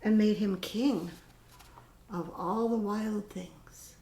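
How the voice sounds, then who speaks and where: Slow, creaky-voiced older woman reading a storybook. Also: mid-range voice, mellow. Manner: slow, dramatic, with drawn-out pauses and stressed words. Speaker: grandmother storyteller. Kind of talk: expressive read-aloud of a children's picture book. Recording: roomy echo with faint background noise.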